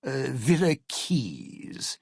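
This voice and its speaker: A man playing a jaded, self-regarding old lounge singer and cynical schemer in a video game, with a gravelly, husky voice and a wry, theatrical, slangy drawl. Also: mid-range voice, big pitch swings, drawn-out words, a little mellow, no room sound, clean studio sound, exaggerated voice. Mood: defensive, indignant. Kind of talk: video game character dialogue.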